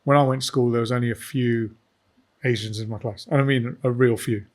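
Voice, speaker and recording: A man's voice: mid-range voice, fairly even intonation, brisk. Jokey informal male podcast host. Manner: jokey and informal, many ums. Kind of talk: casual interview chat.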